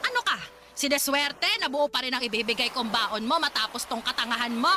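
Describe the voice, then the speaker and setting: High-voiced cruel old grandmother. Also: lively intonation, fairly quick, noisy background. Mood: angry, nagging. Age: older. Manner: scolding, sanctimonious, nagging. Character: cruel, pious, stingy old grandmother, scolding harshly. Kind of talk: Tagalog radio drama acting.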